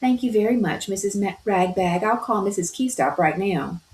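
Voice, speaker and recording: A woman's voice: medium pitch, expressive, normal speed, darkish tone, close to an everyday voice. A woman voicing a prim, worried mother, read aloud from a storybook. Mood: fretful. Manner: fretful, prim. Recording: roomy echo.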